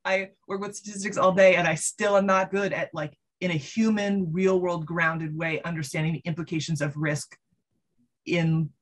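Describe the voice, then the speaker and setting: A woman's voice: lowish voice, fairly even intonation, moderate pace. A woman economist speaking on a panel over a video call, thoughtful and careful to hedge her points. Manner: thoughtful, hedging, self-aware. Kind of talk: panel discussion.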